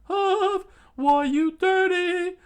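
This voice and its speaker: A man's voice: very high-pitched, steady tone, slow pace, fairly bright tone, pitched above the performer's own voice, exaggerated voice. A man voicing a lovestruck, hot-headed young male fighting cook, swooning and exclaiming in a sing-song way. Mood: indignant, defiant.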